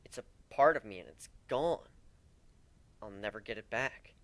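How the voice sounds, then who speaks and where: A man's voice: fairly low pitch, animated, normal speed, a bit bright, dry recording. A man reading scripted first-person story narration in a dramatic, brooding manner.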